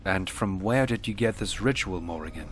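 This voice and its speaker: A man's voice: deep voice, lively intonation, normal speed, a little hiss or hum. Earnest male warrior, plain-spoken, serious, questioning, fantasy game drama, music behind. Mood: concerned, puzzled.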